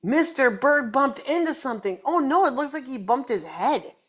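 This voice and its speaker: A woman's voice: high-pitched, animated, moderate pace, slightly rough. A woman reading a children's picture book aloud, playfully chatting to young listeners in little asides. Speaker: storybook reader.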